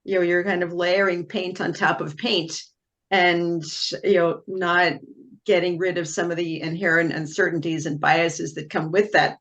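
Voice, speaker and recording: Woman, low-pitched, panelist, candid and wry, video call. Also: flat delivery, normal speed, fairly bright tone, highs cut off. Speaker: panelist, genetics expert. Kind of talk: panel discussion remarks.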